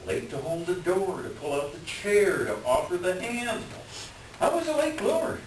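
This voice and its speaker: A man playing a hapless middle-aged swindler doctor in a staged reading, pleading and self-deprecating, with a halting, wheedling delivery. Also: high voice, very animated. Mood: rueful. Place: echoey room, far from the mic. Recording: distant echoey room with hiss.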